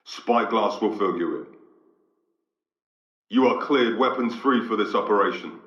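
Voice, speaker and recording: Stern, commanding military admiral. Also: male voice, lowish voice, a little slow, fairly bright tone, echoey room, distant mic, lo-fi sound. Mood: stern, measured. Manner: commanding, clipped, formal orders. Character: stern, authoritative male military vice admiral commanding troops. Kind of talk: video game military briefing voice acting.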